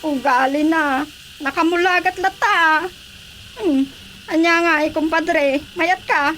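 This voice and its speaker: A woman's voice: extremely high pitch, takes it slowly, fairly bright tone, a little hiss or hum, heavily acted. A woman with an Ilocano accent acting a pleading, chatty village mother in a radio drama comedy. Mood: resigned, coaxing.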